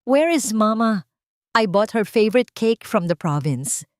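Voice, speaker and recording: A woman's voice: fairly high pitch, big pitch swings, a little slow, dry recording, clean studio sound, pitched above the performer's own voice. A woman narrator voicing a tired, trusting grown son, a millionaire, in an audio drama, mixing English and Tagalog. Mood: tired, eager. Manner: code-switches between English and Tagalog.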